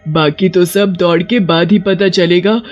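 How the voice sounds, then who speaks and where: Guy as a hungry gloating witch in a horror cartoon, slow n high, muffled audio. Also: fairly even intonation, faint music behind. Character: gloating, hungry witch, female, hunting humans. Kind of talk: cartoon horror story dialogue.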